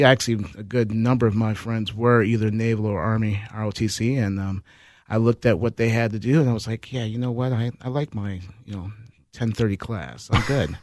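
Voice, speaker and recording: A man on a radio talk show, a nonprofit director, telling his story in a relaxed way with many "ums" and "you knows" and easy laughs. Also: fairly low pitch, normal speed, no room sound, studio quality. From Minnesota, North Side of Minneapolis. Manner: laughs easily. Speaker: guest, managing director of a nonprofit.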